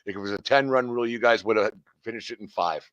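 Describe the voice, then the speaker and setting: A man's voice: fairly low pitch, fast talker, fairly bright tone, clear, resonant tone, dry recording, dull audio. A middle-aged man hosting a casual sports talk, rambling with lots of fillers and restarts. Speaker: podcast host.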